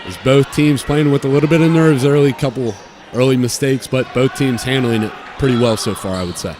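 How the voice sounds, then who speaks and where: A man's voice: mid-range voice, fairly quick. A man doing live play-by-play commentary of a basketball game from inside a school gymnasium. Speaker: play-by-play announcer. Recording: loud gym crowd and court noise. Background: crowd, bouncing basketball.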